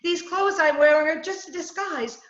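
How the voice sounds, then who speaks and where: A woman's voice: extremely high pitch, moderate pace, a bit bright. A woman playing a scatterbrained, eager newspaper reporter in an old-time radio comedy-mystery, stammering and chattering in a flustered way. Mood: nervous, chattering. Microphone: video call. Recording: dull, roomy video call recording.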